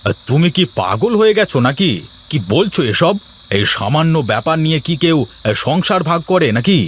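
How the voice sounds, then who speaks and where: A man's voice: fairly high pitch, big pitch swings, moderate pace. A man voicing an exasperated younger husband in a cartoon family quarrel. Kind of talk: cartoon story dubbing.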